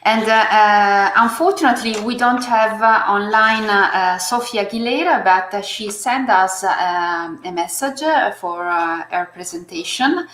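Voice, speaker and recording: A woman hosting an online artist talk, speaking haltingly with many fillers and restarts. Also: mid-range voice, a bit bright, echoey room. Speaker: host moderating an artist talk.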